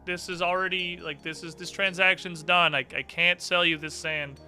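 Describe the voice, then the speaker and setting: A man's voice: high-pitched, little pitch movement, moderate pace, pushed and bright, clear, resonant tone, soft background music, heavily acted. A man voicing a polite, welcoming female shopkeeper who gets flustered and stammers in customer-service talk. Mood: flustered, apologetic.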